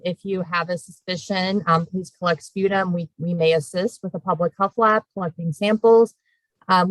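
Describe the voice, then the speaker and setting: A woman's voice: lowish voice, fairly bright tone, no echo, rough recording. A woman giving an earnest, informal report on a work call as a program representative. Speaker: public health program representative.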